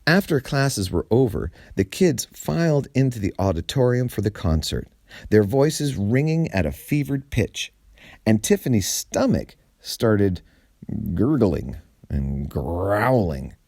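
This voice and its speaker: Slow, animated male storyteller. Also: fairly low pitch, no room sound, studio quality. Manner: theatrical, deliberate phrasing. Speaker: storyteller reading aloud. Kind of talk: expressive read-aloud of a humorous story.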